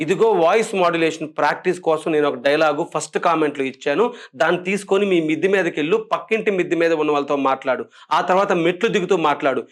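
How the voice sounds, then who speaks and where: A man with a notably high voice speaks at a quick clip. He coaches acting in an instructional way, stressing his points emphatically. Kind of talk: instructional acting tips to camera.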